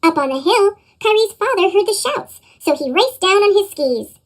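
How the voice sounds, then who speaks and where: Squeaky chipmunk-effect woman storyteller. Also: expressive, moderate pace. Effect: sped-up chipmunk pitch effect. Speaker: storybook reader. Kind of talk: children's read-aloud narration.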